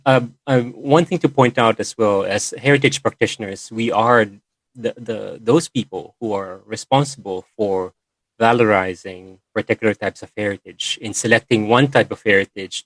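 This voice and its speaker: Guy hosting a video call, lowish voice, lots of uhs and ums, dry sound. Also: no echo, low-quality recording. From Philippines. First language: Filipino. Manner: hesitant, many fillers and restarts. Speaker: session host and moderator. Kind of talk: online meeting presentation.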